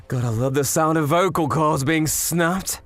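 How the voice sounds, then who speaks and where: A man's voice: a little high, fairly bright tone. A man voicing a cocky, gleeful knife assassin in a manga story, taunting and manic.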